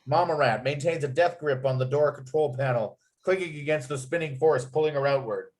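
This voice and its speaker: A man reading screenplay scene headings and action aloud as narrator, with expressive storytelling delivery. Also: a little high, brisk.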